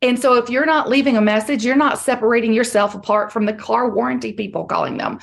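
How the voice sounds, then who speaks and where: A woman's voice: on the high side, lively intonation, quick pace, creaky. A woman coaching salespeople on a group call, direct and emphatic, repeating her key points, and losing her voice.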